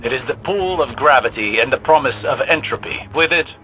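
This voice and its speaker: Brainy game lore scholar, pensive lecture style, sharp bright voice, mid pitch. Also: male voice, lively intonation, brisk, faint music behind, poor audio. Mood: pensive, lecturing. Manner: pensive, erudite, precise. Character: learned male scholar of cosmic forces. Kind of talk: scripted in-game lore narration.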